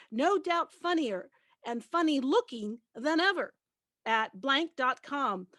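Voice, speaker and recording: A woman's voice: high-pitched, fairly bright tone, no echo, studio quality. A woman giving a dramatic reading of promotional copy. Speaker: panelist, radio and TV host. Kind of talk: reading a press release aloud as a dramatic reading.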